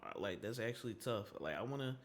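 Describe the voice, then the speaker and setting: A man's voice: mid-range voice, lively intonation, fast. Slangy, rambling male streamer. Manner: loose, rambling, slangy and frank. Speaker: streamer reacting to a video. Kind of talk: casual reaction commentary.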